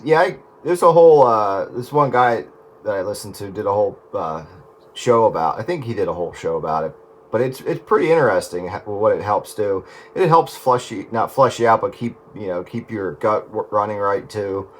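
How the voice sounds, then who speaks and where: A man's voice: fairly low pitch, normal speed. A man chatting casually over the radio, rambling and correcting himself as he goes.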